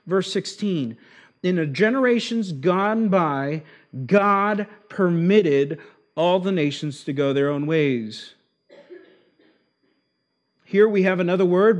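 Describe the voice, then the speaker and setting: A man with Argentine roots preaching an expository sermon, slowing down to stress key words. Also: high voice. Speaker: pastor.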